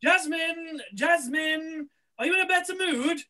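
Young man playing a high, bright pantomime villain. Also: measured pace, full character voice. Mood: wheedling. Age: young adult. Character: scheming, theatrical male pantomime villain. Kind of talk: amateur pantomime read-through. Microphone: video call.

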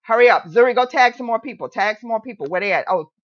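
A woman's voice: fairly high pitch, lively intonation, fast, dry recording. A woman hosting a casual live stream, hyping her guest and urging viewers to share. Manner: hype, calls on viewers to share and tag. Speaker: host. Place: home room, virtual backdrop. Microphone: headset on video call. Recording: dry, slightly dull sound.

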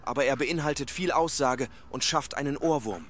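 A man's voice: mid-range voice, moderate pace, a bit bright, a little hiss or hum, natural voice. A man playing a chummy, eager artificial intelligence assistant in an audio drama, obliging and self-assured. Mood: eager, confident.

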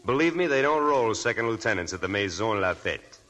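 An actor playing a breezy, hard-drinking, talkative nightclub press agent, glib and slangy, in a 1940s radio drama. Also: male voice, on the low side, lively intonation, a little fast, fairly bright tone. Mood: coaxing. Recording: 1940s radio broadcast with music.

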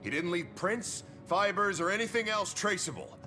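An actor playing a driven, terse and commanding police lieutenant in a video game. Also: male voice, sits high, big pitch swings, moderate pace, pushed and bright, in a large hall, faint music behind, exaggerated voice. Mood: defensive, angry. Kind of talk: dramatic game voice acting.

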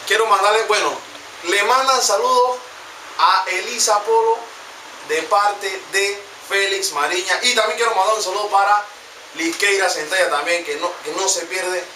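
Brief: twenties Panamanian male vlogger, bright high voice, rambling and jokey, recorded from a distant camera. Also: moderate pace. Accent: Panamanian Spanish. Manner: slangy, joking, rambling. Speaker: vlog host. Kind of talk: casual comedic vlog narration. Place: small room with computer desk. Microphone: camera mic from a distance. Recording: echoey room, distant mic, faint noise.

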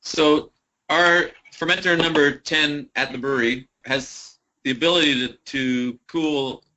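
A man with a bright, forceful voice talks casually as a podcast guest, easygoing and joking. His pitch jumps up when he gets going. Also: unhurried, highs cut off. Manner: relaxed, joking, swings up in pitch. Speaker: brewery guest. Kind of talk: casual interview chat.

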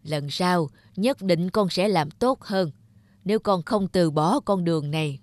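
An actor playing an earnest, remorseful older father who speaks in a slow, measured, solemn way in an animated drama. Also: sits high, no room sound, clean studio sound. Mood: earnest, encouraging. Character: grey-bearded old father, veteran animator, earnest and remorseful. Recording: background music under the voice.

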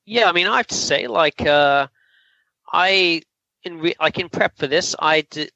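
Guy on a podcast chatting casually, bright forward voice, a bit high. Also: measured pace, vocal fry, no room sound, dull audio. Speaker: podcast co-host. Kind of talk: casual film review chat.

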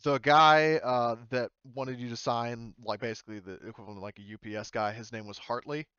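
A man's voice: mid-range voice, steady tone, brisk, a bit bright, smooth, dry recording, dull audio. A man running a tabletop game as game master, narrating off the cuff in an informal, explanatory way and swearing casually.

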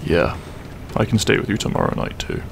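A man playing a grumpy but tender half-dragon roommate in an intimate ASMR roleplay, gruff, sleepy and halting in a soft voice. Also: background noise, lightly acted. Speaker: roleplay voice actor. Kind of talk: intimate ASMR audio roleplay, comfort scene.

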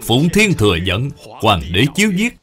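A man's voice: mid-range voice, huge pitch swings, moderate pace, over loud music. A man voicing a stately old imperial envoy in a cartoon, formal and declamatory. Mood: stately, proclaiming.

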